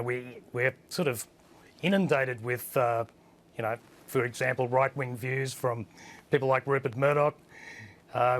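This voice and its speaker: A man with a husky voice, a guest on a TV panel, plain-spoken and hesitant with many fillers. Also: medium pitch, fairly bright tone. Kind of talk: live TV panel discussion. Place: remote studio link.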